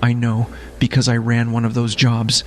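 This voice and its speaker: A guarded smuggler played by a man speaks at a middling pitch. He is weary and grave, choosing terse, deliberate words as in a science fiction audio drama. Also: monotone, slight background noise, close to an everyday voice. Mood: grave confession. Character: guarded, weary male smuggler carrying a dangerous secret.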